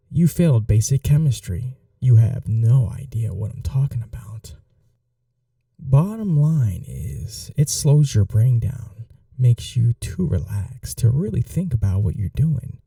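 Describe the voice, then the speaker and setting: A man's voice: mid-range voice, creaky, warm tone, no echo, studio quality, recorded from a distance, heavily acted. A young man playing a smug, chatty mad scientist college roommate in an intimate ASMR audio roleplay, coaxing and condescending in a casual way. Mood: proud, condescending.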